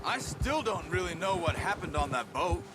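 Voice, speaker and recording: An actor playing a calm, patient hunter in a western game, giving terse instructions to a companion. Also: male voice, sits high, normal speed, background noise. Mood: regretful. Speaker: video game character. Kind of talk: naturalistic western game drama acting. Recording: music behind the voice.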